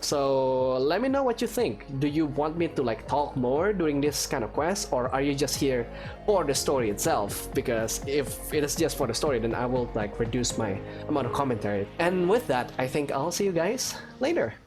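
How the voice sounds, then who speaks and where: A man's voice: medium pitch, expressive, normal speed, music in the background. A man giving casual playthrough commentary and review, informal and hesitant, often rephrasing as he goes. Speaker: gaming video creator.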